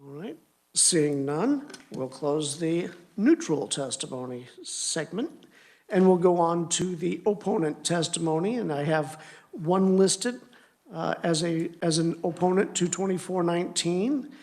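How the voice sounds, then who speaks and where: A man chairing a legislative committee hearing, running through the testimony list in a brisk, informal way. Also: on the high side, expressive, darkish tone. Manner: brisk, informal procedural patter.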